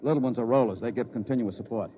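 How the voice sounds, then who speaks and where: An actor playing a brusque chief engineer, giving urgent, clipped orders in a 1950s radio drama. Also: male voice, mid-range voice, fast, lo-fi sound, natural voice. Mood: brisk. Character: brusque, commanding male chief engineer in an emergency. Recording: faintly noisy 1950s radio recording.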